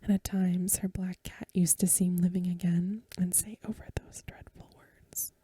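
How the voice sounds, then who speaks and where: A woman reading a story in a gentle, breathy, whispered ASMR style. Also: fairly low pitch, little pitch movement, moderate pace. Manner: gentle, hushed delivery. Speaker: ASMR reader.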